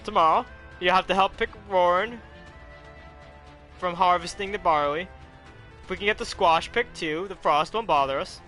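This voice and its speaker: A man's voice: sits high, moderate pace, long pauses, sharp tone, faint music behind, pitched above the performer's own voice. A man voicing a stern, worried middle-aged farmer uncle in a storybook reading, clipped and scolding. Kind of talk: audiobook character voice.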